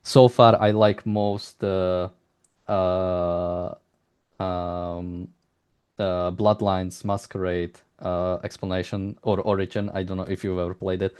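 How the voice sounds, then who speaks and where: Male interviewer, low register, conversational and halting, circles around questions with repeated words. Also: level tone, a little mellow, smooth. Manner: halting, rambling, repeats words while searching for phrasing.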